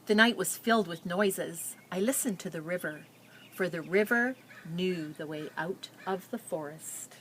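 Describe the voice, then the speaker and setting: A woman reading a picture book aloud, telling the story and pausing with simple explanatory asides for young listeners. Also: lowish voice, a bit bright, slight background noise.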